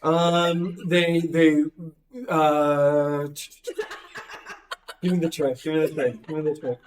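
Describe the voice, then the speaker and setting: Hoarse game master, slow and halting. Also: male voice, on the high side, level tone, a little hiss or hum. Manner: halting, full of ums and likes. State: hoarse, losing his voice. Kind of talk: narrating a tabletop game.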